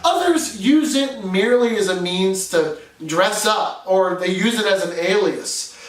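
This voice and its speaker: A man's voice: high-pitched, animated, unhurried, reverberant, recorded from a distance. A man with a New England accent, from New Hampshire, giving an earnest, conversational explainer as a video host. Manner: earnest, conversational, with occasional fillers. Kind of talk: scripted explainer narration.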